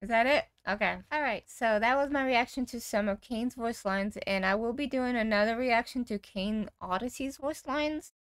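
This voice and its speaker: A woman's voice: a little high, normal speed, few pauses, fairly bright tone, clear, resonant tone, dry recording. A woman hosting a reaction video, giving casual, offhand commentary in an easily amused way.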